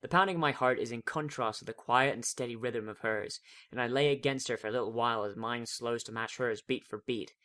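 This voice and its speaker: A man's voice: medium pitch, a bit bright. A man giving a dramatic first-person reading of a fan fiction story.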